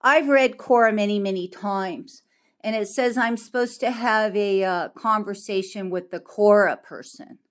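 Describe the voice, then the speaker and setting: Woman, average pitch, member of the public in a dispute call; insistent, argumentative, repetitive for emphasis. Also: unhurried. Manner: insistent, repeats phrases for emphasis. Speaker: member of the public requesting records. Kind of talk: phone dispute, argumentative talk.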